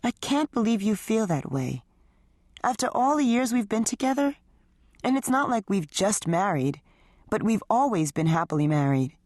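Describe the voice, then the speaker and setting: A woman voicing an exhausted, stressed wife who speaks in a pleading, strained way, a little higher than her narration. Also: big pitch swings, moderate pace, a little mellow, no room sound, studio quality. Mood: upset.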